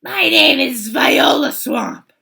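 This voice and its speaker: A woman's voice: high-pitched, lively intonation, takes it slowly, gravelly, pushed and bright, pitched above the performer's own voice, full character voice. A woman voicing a strict, menacing teacher with a scratchy voice, read aloud from a storybook. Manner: stern, commanding.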